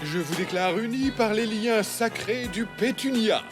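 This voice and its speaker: A slow, solemn cartoon wedding officiant. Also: female voice, medium pitch, expressive. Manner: ceremonious. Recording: loud music behind the voice.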